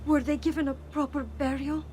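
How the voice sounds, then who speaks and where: An actress playing an anxious, emotional young Italian sister in a video game drama. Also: female voice, sits high, level tone, brisk, mellow, long reverb tail, faint music behind, close to an everyday voice. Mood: distressed.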